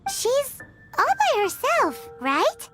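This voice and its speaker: A woman voicing a tiny, nosy, childlike fairy companion in an animated game, squeaky, exclamatory and a little whiny. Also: slow, heavily acted. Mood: excited curiosity. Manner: refers to self in third person, whiny, exclamatory. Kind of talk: animated video game dub acting. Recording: music playing behind the voice.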